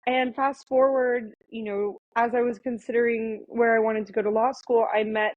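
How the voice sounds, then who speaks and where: A woman in her thirties, a lawyer guest on a podcast, talking reflectively in a relaxed conversational way with many fillers and restarts. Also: on the high side, moderate pace, no echo, lo-fi sound. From born in Thailand, raised in Florida and India. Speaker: guest, attorney.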